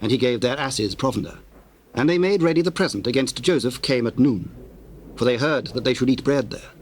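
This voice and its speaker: A man narrating scripture in a formal, measured storytelling manner. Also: mid-range voice. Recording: noisy old cassette tape.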